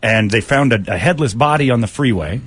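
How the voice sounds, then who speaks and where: A man's voice: medium pitch, lively intonation, fairly quick, fairly bright tone, breathy edge, dry recording. A man hosting a comedy radio interview, bantering in an irreverent, joking way with his studio companions. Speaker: radio morning show host.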